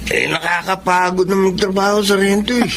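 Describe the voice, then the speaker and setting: A man's voice: sits high, fairly even intonation, a little fast, a bit bright. A cocky, idle troublemaker of thirty in a radio drama. He talks in careless slang and brushes everything off, over faint background noise. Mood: lazy, defensive. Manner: flippant, careless slangy talk. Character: thirty-year-old idle, cocky, troublemaking son and brother.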